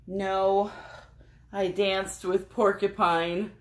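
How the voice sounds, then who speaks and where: A woman voicing a good-natured male animal friend in a children's storybook, sore but hopeful, gentle and reassuring. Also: medium pitch, flat delivery, very slow.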